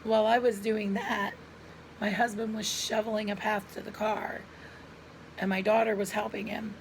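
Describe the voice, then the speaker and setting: A middle-aged woman with a hoarse voice and frequent coughs, telling viewers a personal story in an earnest, chatty way, recorded at home on a camera mic. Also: mid-range voice, flat delivery, moderate pace, a little hiss or hum, low-quality recording. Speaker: lifestyle vlogger.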